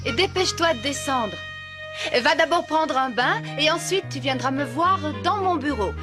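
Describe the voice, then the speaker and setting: A woman playing a kind but firm boarding school mistress in a dubbed cartoon, brisk, encouraging and authoritative. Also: high voice, expressive, music in the background. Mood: firm, kindly.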